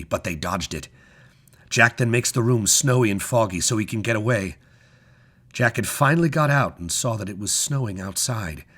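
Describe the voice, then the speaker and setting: A man with a low, slightly husky voice narrates a written story. He reads in a measured, dramatic way, shaping phrases expressively and leaving deliberate pauses. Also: bright, forceful tone. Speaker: story reader.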